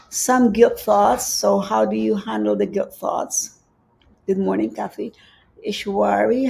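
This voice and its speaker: Gravelly, soft-toned Filipino woman teaching slowly. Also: medium pitch, rough recording. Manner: gentle, repeats phrases, reads viewer comments aloud. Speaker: spiritual teacher. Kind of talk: live-streamed spiritual teaching. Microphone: livestream setup.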